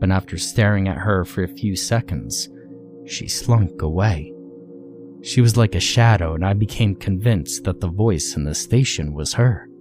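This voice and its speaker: A man reading a scripted first-person horror story in a measured, dramatic way with deliberate phrasing. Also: deep voice, warm tone.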